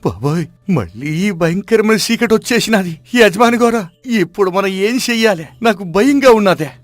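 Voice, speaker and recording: A man voicing a timid, simple-minded servant in a comic animated story, whining and fretful. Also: sits high, normal speed, dry recording. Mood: frightened. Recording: background music under the voice.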